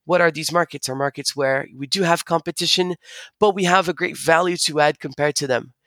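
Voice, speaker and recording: A man's voice: on the high side, fairly quick, fairly bright tone, no room sound. A young man from Brazil who also grew up in the United States, explaining his sales work as an interview guest. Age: young adult.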